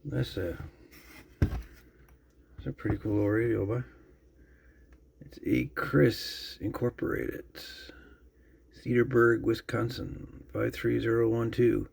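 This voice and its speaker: A man giving a casual, unscripted show-and-tell as a video host, musing haltingly and trailing off as he goes. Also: lowish voice, vocal fry, darkish tone, husky. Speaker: host of a radio repair channel.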